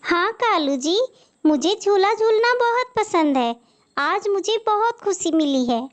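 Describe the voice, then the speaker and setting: A woman's voice: extremely high pitch, expressive, a little slow, voice pushed up. A woman voicing a sweet, dutiful young daughter-in-law bird in a cartoon, polite and eager to please. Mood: happy. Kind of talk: Hindi moral-story cartoon acting.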